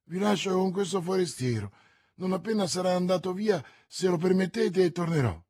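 Man voicing an anxious, lovestruck young woman, raised pitch. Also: moderate pace, darkish tone, breathy edge, no echo, clean studio sound. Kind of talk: audiobook character voice, classic novel.

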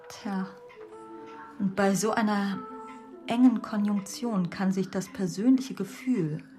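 Radio play astrologer: earnest, explanatory, mid-pitched female voice, taking her time. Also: dark tone. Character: woman astrologer earnestly reading a birth chart. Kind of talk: monologue in a literary radio play. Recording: music under the voice.

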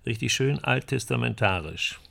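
A man's voice: medium pitch, moderate pace, creaky, a bit bright. A man acting in a radio drama as a bookish, wry friend in 1930s Germany, musing with dry amusement. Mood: wry, reflective.

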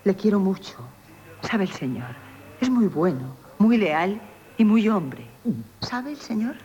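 An actress playing a proud, scheming, flirtatious innkeeper in radio theatre, brisk and teasing. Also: female voice, mid-range voice, sing-song, warm tone. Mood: coquettish. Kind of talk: 1970s radio theatre acting. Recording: dull, noisy old radio broadcast.

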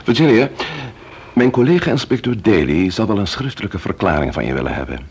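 An actor playing a shrewd, courteous police investigator in a Dutch radio play, speaking deliberately and questioning with emphasis. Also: male voice, lowish voice, big pitch swings, darkish tone, husky, muffled recording, exaggerated voice. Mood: gentle, assured.

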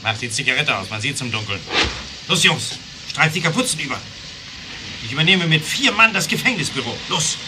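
Tough commanding gang boss in old german radio play, highish tense voice, really animated. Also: male voice, brisk, noisy background. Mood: terse, commanding. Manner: barking curt orders. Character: hard, commanding male leader of a masked lynch mob. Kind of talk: German audio drama acting, 1970s adventure record.

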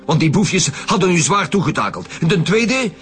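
An actor playing an authoritative, wry Flemish chief homicide detective in a radio crime drama. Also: male voice, high voice, very animated, moderate pace, fairly bright tone, faint music behind. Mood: stern.

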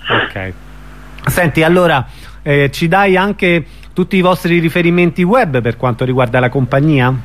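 A man's voice: a little high, normal speed. A man hosting an informal interview on the radio, putting questions to his guest. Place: radio broadcast.

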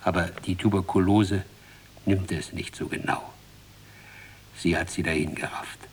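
A grim man in a sixties radio play. He speaks slowly in a deep, breathy-rough voice, ominous and halting. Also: fairly even intonation, slight background noise. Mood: grim, hushed. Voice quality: husky, breathy. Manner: ominous, halting, dropping to whispers. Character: grim, urgent man warning an old drinker of death. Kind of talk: 1960s German radio drama acting.

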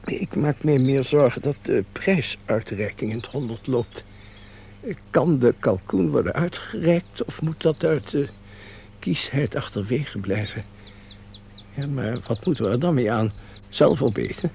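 An actor playing a sly, fawning, crooked bookkeeper in a radio play, with formal, unctuous, theatrical delivery. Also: male voice, mid-range voice, a little slow, gravelly. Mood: smooth, calculating. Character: sly, fawning, embezzling bookkeeper, secret lover. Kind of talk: Dutch radio play acting. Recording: dull, noisy radio play recording with hum.

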